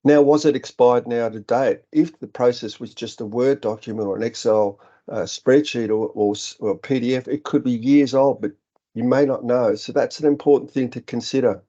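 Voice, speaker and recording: A man's voice: mid-range voice, normal speed, warm tone. A middle-aged Australian man giving an earnest webinar presentation, explaining points with frequent ums and restarts. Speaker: business director presenting a webinar. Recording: dull, slightly lo-fi webinar audio.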